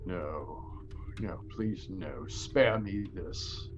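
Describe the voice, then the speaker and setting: A man's voice: low-pitched, drawn-out words, muffled recording, noisy background. A man playing an old miser in an audio drama, anguished and pleading, breaking into whispers and cries. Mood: fearful pleading. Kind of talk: theatrical audio drama acting.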